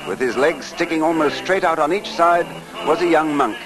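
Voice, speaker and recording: A man narrating a story for 1940s style radio in an expressive, dramatic way. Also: fairly high pitch, moderate pace, low-quality recording. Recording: old radio recording with music behind.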